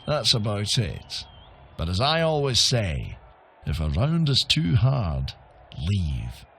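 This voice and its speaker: An actor playing a wry, seasoned arena fighter in a video game, measured and knowing as he hands out advice. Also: on the low side, wildly swooping pitch, bright, forceful tone, husky, heavily acted. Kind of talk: video game character acting.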